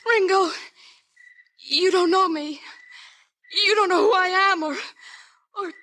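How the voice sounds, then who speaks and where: A woman's voice: very high voice, takes it slowly, pushed and bright, full character voice. An actress playing a troubled, tender young frontier woman in old radio melodrama, with an American accent and an emotional manner. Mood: anxious pleading. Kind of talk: 1930s-40s radio melodrama acting.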